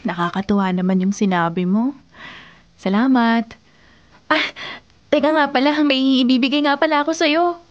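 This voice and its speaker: A woman's voice: sits high, moderate pace, long pauses, close to an everyday voice. A woman acting a sweet, coy, affectionate young girlfriend in a radio drama, on slightly muffled audio. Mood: sweet, playful. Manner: coy, giggly.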